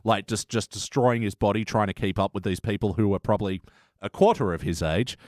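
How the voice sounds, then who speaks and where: A man's voice: on the low side, brisk, dry recording, clean studio sound. A man hosting a podcast, chatting casually through a review, with some swearing. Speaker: podcast host.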